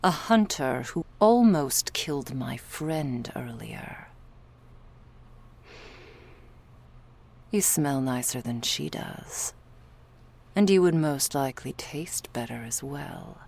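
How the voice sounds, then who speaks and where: A woman's voice: a bass voice, expressive, slow, vocal fry, a little mellow, heavily acted. A woman playing a stern, protective female vampire bodyguard in an ASMR roleplay, cool and clipped, often close to a whisper. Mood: cold, threatening.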